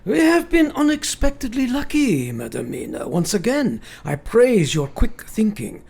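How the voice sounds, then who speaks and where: An actor playing a grave, commanding elderly professor in a radio play, speaking in formal, old-fashioned, deliberate phrases. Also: male voice, high-pitched, huge pitch swings, vocal fry, darkish tone, husky, full character voice. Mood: pleased.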